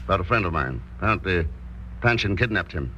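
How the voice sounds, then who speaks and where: Casting: tough, unflappable investigator, low, forceful voice, terse delivery, dull vintage radio recording. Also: male voice, expressive, fast talker, slight background noise. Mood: terse, suspicious. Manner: clipped, dry, unflappable. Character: tough, laconic American investigator, cool and determined. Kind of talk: 1940s radio drama acting.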